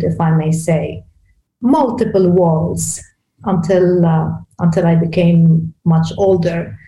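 Female guest from Lebanon, mellow voice, echoey room, faint hiss, easy laughs and fillers. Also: lowish voice. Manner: warm, laughs easily, hesitant fillers. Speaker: guest, executive coach. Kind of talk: casual interview conversation.

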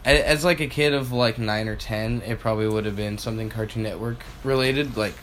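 A man co-hosting a casual podcast, easygoing and thinking out loud as he talks. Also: fairly low pitch, steady tone, fairly quick, slight background noise, rough recording. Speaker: podcast co-host.

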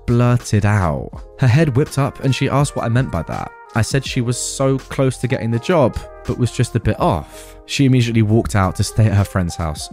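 A man hosting a show where he reads listener posts aloud and gives his verdict in a lively, conversational way. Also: on the low side. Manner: lively storytelling with emphatic slowed quotes. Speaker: host reading and judging listener posts. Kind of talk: reading forum stories aloud with commentary.

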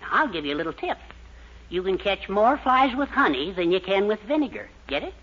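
A man's voice: sits high, sing-song, brisk, fairly bright tone, exaggerated voice. An actor playing a fatherly, advice-giving older man, in a genial, folksy 1940s radio sitcom style. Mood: advising. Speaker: Mr. Anderson, Mildred's father. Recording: dull old radio broadcast.

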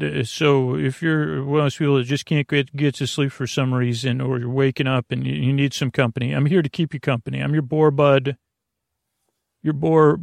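A man delivers a loose, wandering bedtime podcast monologue in a noticeably creaky, fry-laden voice. He stops, restarts and drifts into gentle absurdity with mild humor. The sound is close and very dry, with hardly any room around it. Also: medium pitch, no echo. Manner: meandering, halting, self-interrupting. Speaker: podcast host.

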